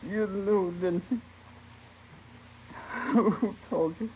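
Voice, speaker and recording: A troubled teenage boy in an old radio crime drama. He is evasive and halting. The recording is muffled, narrow and noisy. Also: high voice. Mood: anguished confession. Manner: hesitant, halting. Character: troubled seventeen-year-old male student, evasive, confessing to knifings. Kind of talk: 1950s radio drama acting.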